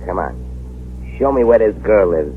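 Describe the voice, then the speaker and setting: An actor playing a ruthless, bossy gangster who gives curt orders in an old radio drama. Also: male voice, low voice, lively intonation, normal speed. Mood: scheming. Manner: gruff, bossy, menacing. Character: ruthless male gangster boss. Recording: muffled scratchy old radio broadcast with faint hiss.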